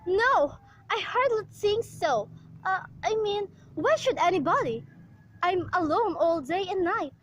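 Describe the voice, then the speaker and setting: A woman's voice: very high voice, expressive, unhurried, fairly bright tone, slight background noise, heavily acted. A woman playing an anxious, demanding bedridden wife in an amateur radio play, with a fretful, insistent manner. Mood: uneasy, self-pitying.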